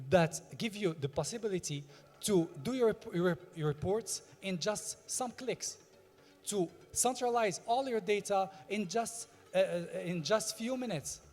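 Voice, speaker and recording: A man with a French accent, a native French speaker, giving a pitch speech on stage and pausing to search for his English words. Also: long reverb tail. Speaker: fintech founder.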